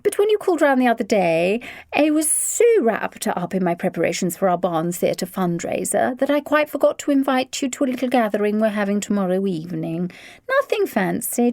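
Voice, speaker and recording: A woman's voice: a little high, darkish tone, dry recording, studio quality. Woman doing a posh, languid society lady. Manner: languid, affected. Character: posh, gracious upper-class woman.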